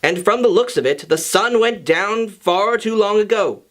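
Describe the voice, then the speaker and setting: A man with a high-pitched voice reads scripted fiction aloud. His delivery is very lively, swinging up and down as a storyteller's does. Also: normal speed. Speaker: fan fiction reader. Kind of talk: animated scripted story narration with acted dialogue.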